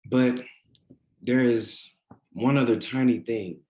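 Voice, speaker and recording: A man's voice: medium pitch, slow, darkish tone, natural voice, poor audio. A man reading a teasing, devoted boyfriend in a naturalistic drama table read, conversational and a little hesitant. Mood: sheepish, hesitant. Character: teasing, devoted boyfriend, nervous about his grandmother. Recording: virtual meeting recording.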